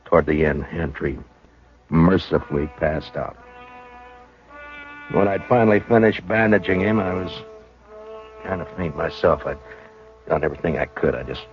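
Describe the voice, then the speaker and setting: An actor playing a steady frontier marshal who also narrates, speaking low, measured and gentle in a 1950s radio drama. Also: male voice, soft background music. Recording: muffled vintage radio broadcast.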